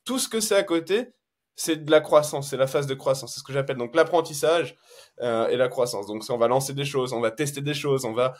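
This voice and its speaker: Man, podcast guest, relaxed, hesitant, filler-heavy, medium pitch. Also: a little fast. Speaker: SEO consultant guest. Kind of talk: casual podcast chat.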